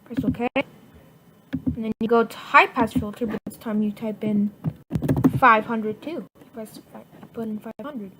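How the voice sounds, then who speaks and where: A woman giving a casual software tutorial in informal, halting step-by-step instructions. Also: fairly high pitch, fairly even intonation. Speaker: tutorial presenter. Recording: noisy home computer mic, clicks.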